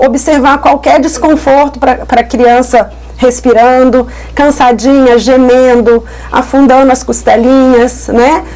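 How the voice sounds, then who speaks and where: A woman with a Carioca accent explains child respiratory care as a guest on a podcast. Her voice sits a little high, and she speaks patiently, softening words and checking in with short tag questions. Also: low-quality recording. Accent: Carioca Portuguese. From Rio de Janeiro, Brazil. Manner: explanatory, frequent tag questions and soft diminutives. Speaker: pediatric pulmonologist guest. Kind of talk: interview explaining child health. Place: podcast studio.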